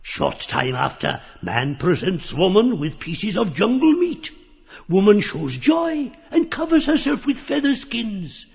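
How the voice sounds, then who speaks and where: Casting: a grotesque diabolic ranter, high-pitched, wildly swooping, theatrical, erratic, for a surreal audiobook drama. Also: male voice, sing-song, unhurried, gravelly, highs cut off, exaggerated voice. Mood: sly, lecturing. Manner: theatrical, erratic, howling and yelping. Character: diabolic grotesque creature, manic ranting heretic. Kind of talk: surreal audiobook stage play.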